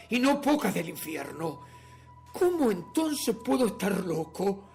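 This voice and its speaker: An actor playing a nervous, obsessive madman who insists he is sane, in a theatrical, halting radio drama monologue that swings between whispers and outbursts. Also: high-pitched, gravelly, darkish tone, full character voice. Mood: agitated, defensive.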